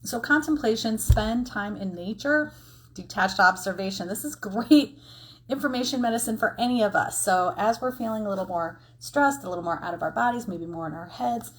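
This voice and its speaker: A woman's voice: medium pitch, normal speed, a little hiss or hum, poor audio. A woman giving a casual, encouraging oracle card reading, explaining things conversationally with informal asides. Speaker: tarot and oracle card reader.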